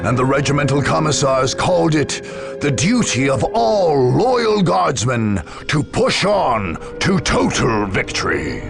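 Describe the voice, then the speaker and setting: A man narrates a video game saga in a solemn, formal register. His pitch moves widely and dramatically, with a gravelly edge to the voice. Music plays behind him. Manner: solemn, formal storytelling delivery.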